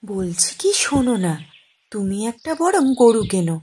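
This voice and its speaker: A woman's voice: medium pitch, big pitch swings, normal speed, darkish tone, slightly rough. A woman playing a woodcutter's caring, practical wife in a cartoon, in a coaxing, reassuring manner. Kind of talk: cartoon moral-story dialogue. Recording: faint noise with birdsong.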